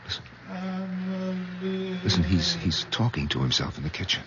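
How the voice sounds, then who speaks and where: A man playing a concerned, gently probing husband in an old radio drama. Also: extremely low pitch, expressive, normal speed, a loud crowd. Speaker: son-in-law. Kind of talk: 1970s radio drama acting. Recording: old radio broadcast with clear hiss.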